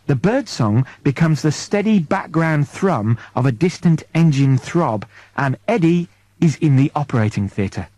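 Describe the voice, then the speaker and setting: A male audiobook narrator tells a comic science-fiction story in the present tense. His voice is mellow with animated pitch, measured and expressive, recorded close and dry. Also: dry recording.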